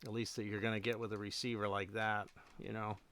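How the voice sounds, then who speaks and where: A man chatting casually and unscripted as a hobbyist livestream host, rambling a little and thinking aloud in halting phrases. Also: on the low side, little pitch movement, fairly bright tone, smooth. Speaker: radio repair hobbyist hosting a livestream.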